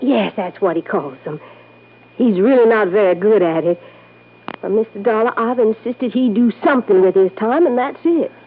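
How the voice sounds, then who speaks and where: A woman's voice: medium pitch, animated, normal speed, close to an everyday voice. An actress playing a dignified, wealthy middle-aged lady in an old-time radio drama, genteel, composed and confiding. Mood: composed, candid. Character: dignified, wealthy Southern lady in her late forties. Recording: tinny, band-limited vintage radio recording.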